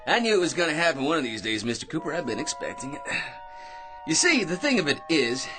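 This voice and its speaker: Cocky, swooping, self-deluded schemer. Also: male voice, a little high, very animated, fast, music in the background. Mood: eager, boastful. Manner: cocky, nervous chuckling and tag questions. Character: brash, eager, self-deluded male office schemer and barfly. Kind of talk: comic radio drama acting.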